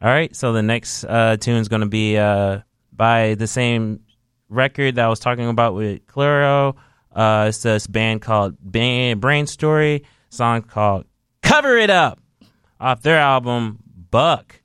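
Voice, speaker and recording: A man hosting a casual radio show, talking in a relaxed, rambling way with lots of fillers. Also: medium pitch, unhurried, clean tone, no echo, clean studio sound. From Haitian descent.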